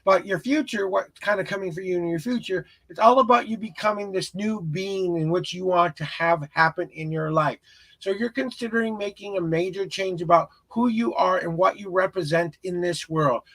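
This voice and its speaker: A man hosting a live tarot reading in a notably high voice with a gravelly, crackly edge. His tone is husky and raspy. He talks in an earnest, encouraging, meandering way, offering casual spiritual guidance to a viewer. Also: normal speed, fairly bright tone. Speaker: tarot reader, live stream host.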